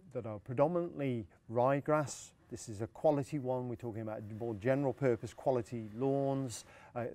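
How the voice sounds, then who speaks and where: A man's voice: mid-range voice, warm tone. A middle-aged man, demonstrating lawn care outdoors in a garden, explaining practically with many fillers.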